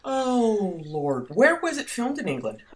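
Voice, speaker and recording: A woman from the United States co-hosting a podcast, animated and giggly, reading summaries aloud. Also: fairly high pitch, unhurried. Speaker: podcast co-host. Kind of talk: casual chat.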